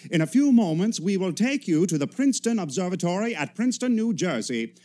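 An actor playing a formal, urgent 1930s radio news announcer reading bulletins in a radio play. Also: male voice, sits high, big pitch swings, a little fast, warm tone.

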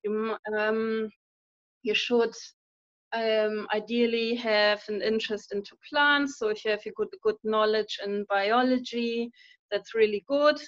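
A woman's voice: on the high side, fairly even intonation, unhurried, no room sound. A woman in her thirties with a German accent, a university lecturer answering interview questions earnestly with many fillers and restarts over a video call. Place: indoor room at home. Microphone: laptop webcam mic on a video call. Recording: dull lo-fi call audio.

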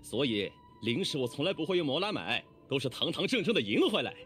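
Cocky hot-blooded oni boss, game voice, pitch flying all over, theatrical bragging, bit of music behind. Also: male voice, big pitch swings, fast, fairly bright tone. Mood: fired up. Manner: boastful, theatrical, rowdy. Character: swaggering, hot-blooded young male oni gang boss. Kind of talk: animated video game character voice lines.

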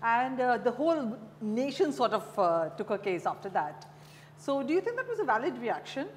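Middle-aged Indian-accented female host, higher-pitched, conversational questioning, hum in a reverberant room. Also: big pitch swings, moderate pace. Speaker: host, interviewer. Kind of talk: conversational interviewing. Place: dim café-like room, seated at wooden table. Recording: echoey reverberant room, clear background hum.

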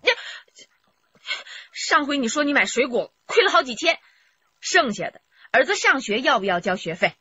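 Shrill, fiery scolding wife. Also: female voice, big pitch swings, normal speed, pauses often, sharp tone, slightly rough, no room sound, dull audio, exaggerated voice. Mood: nagging, exasperated. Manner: shrill, nagging, emotional. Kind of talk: radio crime drama acting.

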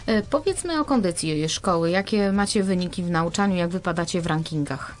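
A woman's voice: fairly low pitch, animated, a little fast. A woman hosting a radio interview and putting questions to a guest in a studio. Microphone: studio microphone.

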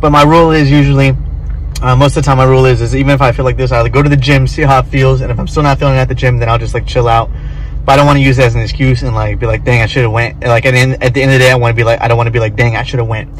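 A young man in his twenties vlogs from the driver's seat, phone at arm's length. He talks fast and loose, peppering everything with bro and offhand swearing. A faint hum of the car and outside traffic sits under his voice. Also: mid-range voice, little pitch movement, poor audio. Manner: laid-back slangy, says bro a lot, swears casually. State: tired, feeling off. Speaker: vlogger. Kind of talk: casual vlog talk to camera. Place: inside a parked car. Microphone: phone held at arm's length.

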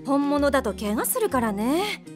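High lively voice, brusque bossy snack-bar mama, audio drama, faint music behind. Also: female voice, normal speed. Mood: offhand. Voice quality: brusque, sharp-tongued. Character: brash flashy snack-bar mama, bossy adult woman.

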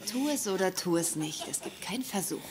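A woman's voice: lowish voice, animated, fairly quick, heavy background noise, natural voice. An actress playing a friendly, casual young girlfriend in a German-dubbed sitcom. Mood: playful.

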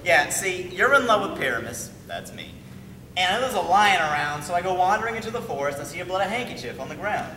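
A man's voice: high voice, big pitch swings, a little fast, sharp tone, slight background noise, full character voice. A man voicing an eager, bossy actor who explains a play scene in theatrical bursts. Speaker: actor rehearsing the lead. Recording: echoey hall from far off.

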